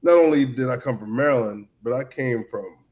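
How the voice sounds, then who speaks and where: A man's voice: medium pitch, brisk, a little mellow. A man in his thirties from Cameroon, a podcast guest telling stories about his past in an easygoing way with lots of fillers. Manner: easygoing, many "you know" fillers and restarts. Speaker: podcast guest, former player. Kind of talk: casual storytelling chat.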